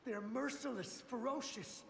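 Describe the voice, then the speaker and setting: A man's voice: very high-pitched, a little slow, gravelly, fairly bright tone, exaggerated voice. A man playing a desperate, devoted samurai soldier's ghost in a video game, fervent in manner, with a ghostly reverb on his voice. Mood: urgent, fearful. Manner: pleading, urgent, fervent. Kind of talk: dramatic video game acting. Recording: echoing long reverb, faint noise.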